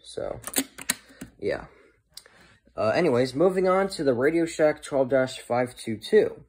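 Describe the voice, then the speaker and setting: Halting man in casual show-and-tell. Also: medium pitch, expressive, a little mellow. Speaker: hobbyist presenter of a weather radio collection. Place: indoors, in a room at home.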